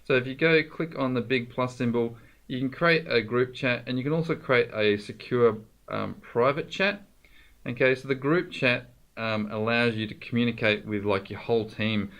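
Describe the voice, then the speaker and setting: A man presenting an informal software tutorial, explaining things directly to the viewer in a conversational way. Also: mid-range voice, normal speed.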